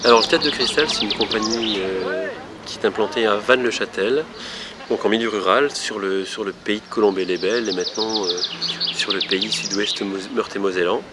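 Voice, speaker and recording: A man speaking for a theatre company, explaining its work in an interview with hesitant pauses. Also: fairly low pitch. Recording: loud noise, birdsong, clicks. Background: birdsong.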